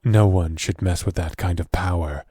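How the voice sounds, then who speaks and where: A man playing a wary, evasive captive governor in an audio drama, guarded and hesitant, with a husky voice. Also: sits low, fairly even intonation, moderate pace, dark tone, no room sound, clean studio sound. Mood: grave, wary. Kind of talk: audio drama roleplay acting.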